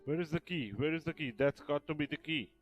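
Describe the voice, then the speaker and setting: A man's voice: on the high side, fast. A man giving casual gaming commentary, musing and repeating himself. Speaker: gaming streamer. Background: game music.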